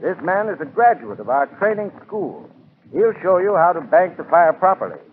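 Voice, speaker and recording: A man's voice: high-pitched, animated, normal speed. A man giving instructive advice in a scripted 1940s radio commercial. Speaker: advertising spokesman. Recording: tinny, hissy 1940s radio recording.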